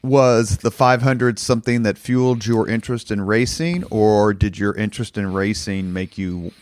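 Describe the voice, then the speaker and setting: A man with a fairly low voice works as a podcast host, moving between prepared introduction and interview questions. The recording is very dry, with hardly any room sound around him. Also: fairly even intonation, moderate pace, a little mellow, no echo.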